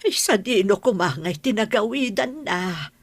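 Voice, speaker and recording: A woman's voice: mid-range voice, lively intonation, a little slow, slightly rough, no echo. A woman playing a concerned, plain-spoken older relative in a radio drama, with an Ilocano accent. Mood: sympathetic.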